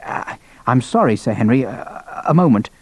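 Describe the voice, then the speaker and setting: A man's voice: fairly high pitch, huge pitch swings, takes it slowly, warm tone, slightly rough, no room sound, exaggerated voice. A man as timid, hesitant army doctor. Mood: nervous. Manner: hesitant, stammering.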